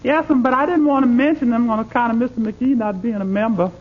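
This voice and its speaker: A man voicing an excitable, easily delighted maid in falsetto, in 1940s radio comedy. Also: brisk. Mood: eager. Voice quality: high falsetto. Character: excitable maid Beulah with a delighted high laugh. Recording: noisy muffled 1940s radio recording.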